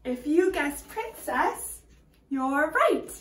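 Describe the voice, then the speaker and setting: A woman teacher reading a picture book aloud to young children, telling the story expressively and slipping into hushed, whispered asides. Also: sits high, very animated, very slow.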